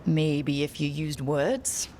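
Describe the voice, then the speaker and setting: A woman playing a calm, supportive and gently teasing hero in a fantasy video game, with natural delivery. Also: sits low, slow pace, a little hiss or hum. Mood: encouraging, teasing. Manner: gentle, encouraging.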